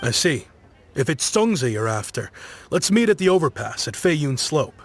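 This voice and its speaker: A man's voice: fairly high pitch, big pitch swings, normal speed. An actor playing a friendly, wistful self-made businessman in a game, talking in an easygoing, chuckling way. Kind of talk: game cutscene voice acting.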